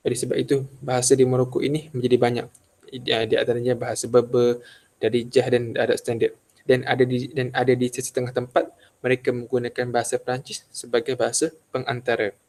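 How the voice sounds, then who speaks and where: A young man from Kelantan giving a halting class presentation, full of fillers and restarts. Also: mid-range voice, darkish tone. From Malaysia, Kelantan. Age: young adult. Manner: hesitant, many fillers and restarts. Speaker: student presenter.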